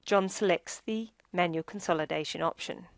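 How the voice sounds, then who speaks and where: A woman reading scripted instructional narration for a software tutorial in a measured, explanatory way. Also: lowish voice, creaky, no room sound.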